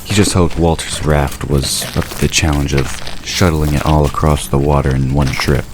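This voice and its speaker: A man's voice: a bass voice, fairly even intonation, moderate pace. A man reading scripted narration for an audio drama. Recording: noisy background of ambience effects and vehicle sound.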